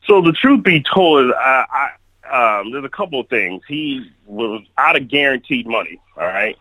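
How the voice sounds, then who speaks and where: A man's voice: mid-range voice, lively intonation, normal speed, bright, forceful tone, dry recording, rough recording. A man giving blunt, opinionated takes as the guest on a casual sports chat, often restarting his sentences. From Kalamazoo, Michigan.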